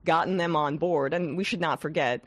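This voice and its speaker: A woman hosting a political talk show. She has a head cold with some vocal fry and talks in a scathing, animated way with frequent restarts. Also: low voice, normal speed, dry recording. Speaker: host.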